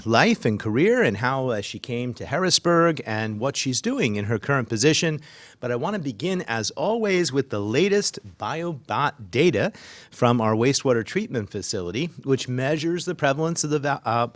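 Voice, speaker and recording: A man, a city mayor, hosting a live civic talk show and interviewing a staff member, earnest and full of small fillers and restarts. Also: medium pitch.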